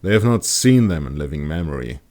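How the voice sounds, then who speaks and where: A man's voice: rumbling low, sing-song, a little fast, a little mellow. A man playing a bold, curious expedition leader with an assured, explanatory manner in an audiobook. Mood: explaining.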